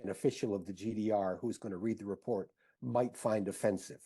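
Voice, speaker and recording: A man answering as an interview guest, halting and searching for his words in conversation. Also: lowish voice, steady tone, mellow.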